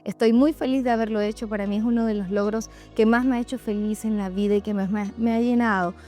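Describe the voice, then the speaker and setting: A woman's voice: mid-range voice, fairly even intonation, fast talker, dark tone. A woman from Venezuela, giving a candid, reflective personal monologue to her followers. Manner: candid, reflective, addressing listeners directly. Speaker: host of a personal podcast or vlog. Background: background music.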